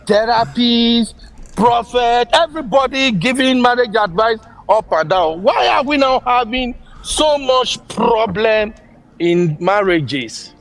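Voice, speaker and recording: A man's voice: very high-pitched, expressive, slow pace, a bit bright, soft background music, low-quality recording. A man with a Nigerian accent making emphatic points in a casual chat, laughing easily.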